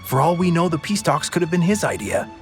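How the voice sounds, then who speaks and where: A man playing a cautious, secretive male soldier who talks in a hushed, conspiratorial way. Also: fairly high pitch, expressive, fairly quick, faint music behind, close to an everyday voice.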